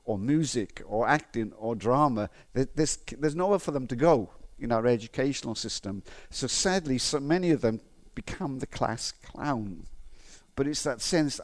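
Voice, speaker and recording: A middle-aged man with a faded Welsh accent, preaching a sermon in a relaxed, conversational way, mixing personal stories with Bible reading. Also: medium pitch. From Wales. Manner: conversational, with frequent restarts and fillers.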